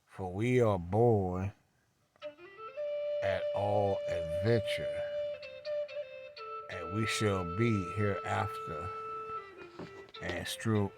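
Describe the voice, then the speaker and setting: A man reads scripture at an extremely unhurried pace and adds his own commentary. His voice sits slightly low. He breaks sentences into short, deliberate pieces with long gaps between them. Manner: deliberate, halting phrasing with long pauses. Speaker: religious reader and commentator. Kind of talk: slow scripture read-along with commentary.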